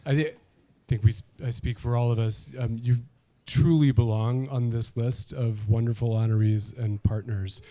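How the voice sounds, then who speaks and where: A man hosting an awards event, introducing honorees with self-deprecating asides and halting restarts. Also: medium pitch, fairly even intonation, mellow. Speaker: event host. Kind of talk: emcee remarks.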